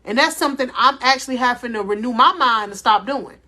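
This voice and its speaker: A woman life coach giving a faith-based motivational talk, with a loud voice, speaking deliberately slowly and calling her listeners "y'all". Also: on the high side. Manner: loud voice by her own account.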